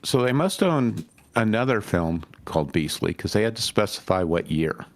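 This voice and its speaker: A man's voice: on the low side, lively intonation, normal speed, no room sound. A man co-hosting in a casual group conversation.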